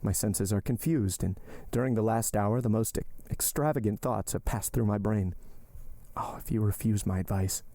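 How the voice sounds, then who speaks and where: A man's voice: fairly low pitch, warm tone, dry recording, natural voice. Man reading as a restrained, desperate young suitor. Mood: bitter, agitated. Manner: formal, measured, intense. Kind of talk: audiobook character dialogue.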